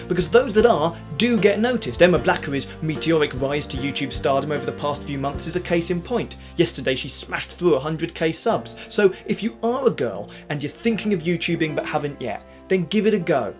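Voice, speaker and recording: A man's voice: a little high, lively intonation, normal speed, few pauses. A man giving scripted commentary in the manner of an online video commentator. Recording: music under the voice.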